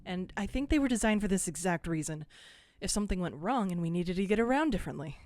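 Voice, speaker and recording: An actress playing a blunt, analytical scientist aboard a research ship, asking demanding questions in an audio drama. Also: female voice, lowish voice, expressive, fast, a little mellow, no echo, studio quality, lightly acted. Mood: reasoning.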